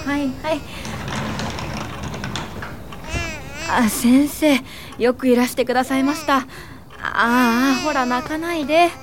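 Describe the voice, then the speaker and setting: Radio drama mother: actress as destitute young woman, deferential, anxious, higher voice, clear background noise. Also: steady tone, moderate pace, natural voice. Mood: flustered, soothing. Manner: deferential, fragile.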